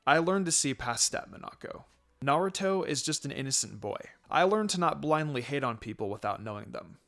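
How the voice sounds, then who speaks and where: A man's voice: medium pitch, lively intonation, brisk, creaky, a bit bright. A man voicing a kindly, humble male ninja who talks politely and modestly in a story reading. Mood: friendly, modest.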